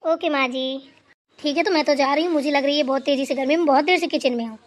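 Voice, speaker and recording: A woman's voice: high-pitched, fast, natural voice. A woman voicing an elderly mother-in-law who rambles through stories and scolds playfully. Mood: relaxed. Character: elderly mother-in-law, chatty, teasing, fond.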